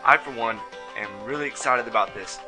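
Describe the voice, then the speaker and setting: Male review host, mid-range and bright, scripted narration over light background music. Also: mid-range voice, a little fast, low-quality recording. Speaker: host of a video game review show.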